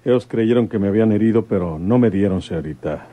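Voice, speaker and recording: Actor as mellow, folksy village lawman. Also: on the low side, fast, dry recording, lightly acted. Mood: folksy, sheepish. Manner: rustic, hesitant, chuckling. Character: folksy, good-humoured male village police commissioner. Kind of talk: radionovela acting.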